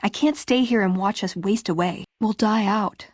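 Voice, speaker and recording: A woman's voice: medium pitch, moderate pace, breathy edge, dry recording, studio quality, close to an everyday voice. An American actress playing a spirited, sarcastic young woman, a scrappy scavenger and brawler companion in a video game. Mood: earnest, frustrated. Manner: sarcastic, playful, quippy. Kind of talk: video game dialogue acting.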